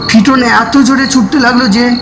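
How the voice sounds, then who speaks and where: A man's voice: extremely high pitch, steady tone, normal speed, heavy background noise. A man narrating a scripted horror story in a suspenseful, dramatic manner. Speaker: first-person narrator of a ghost story. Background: loud background music.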